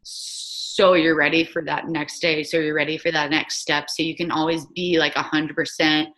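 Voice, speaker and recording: A woman's voice: low voice, level tone, normal speed, fairly bright tone, rough recording. A young woman in her twenties, a former soccer player, chatting casually as a guest over a video call, with lots of "like" fillers. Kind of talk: casual remote interview.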